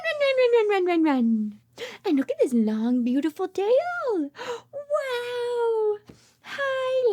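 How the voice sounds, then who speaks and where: A woman's voice: very high voice, a little mellow, no echo. A woman doing an interactive picture-book read-aloud for toddlers, with exaggerated sing-song delivery, playful repetition, gasps and whispers. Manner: exaggerated sing-song child-directed talk; repeats words playfully. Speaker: children's read-aloud host, speech therapist.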